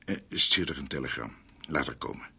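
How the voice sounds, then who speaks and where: Radio play: an ailing, needy old doctor. Forceful edge on a husky voice, halting speech. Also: male voice, very deep voice, heavily acted. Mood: grave, urgent. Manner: halting, hesitant. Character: ailing older male doctor and scholar, proud, needy. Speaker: actor in a radio drama. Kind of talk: radio drama acting.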